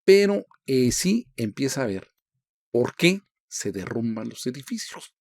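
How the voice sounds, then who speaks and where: A man's voice: mid-range voice, very animated, measured pace, dry recording, clean studio sound. A man giving an informal lecture, asking rhetorical questions and checking in with his listeners. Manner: conversational, asks rhetorical questions, frequent "¿sí?" and "¿no?". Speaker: lecturer on construction history. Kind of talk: informal history lecture with slides.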